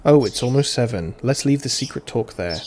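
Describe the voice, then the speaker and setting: A man reading translated lines of a visual novel aloud over loud game music, mostly level but now and then breaking into big laughs. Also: medium pitch, fairly quick, a little hiss or hum. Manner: reads every character himself, mostly flat, bursts into loud laughter.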